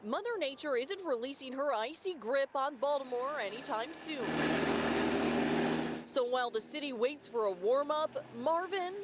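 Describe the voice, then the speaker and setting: A woman's voice: sits high, lively intonation, moderate pace, very noisy. A woman reporting live for a television newscast, in a scripted news delivery.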